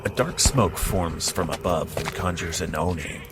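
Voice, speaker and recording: A man's voice: low voice, lively intonation, moderate pace. A man playing a solemn narrator, delivering dramatic scripted fantasy storytelling. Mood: ominous. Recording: loud effects noise behind.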